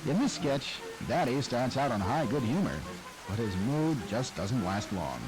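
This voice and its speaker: A man narrates in a measured, informative way, his mid-pitched voice moving lively up and down. Music plays clearly behind him. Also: rough recording. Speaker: radio history narrator. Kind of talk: scripted narration.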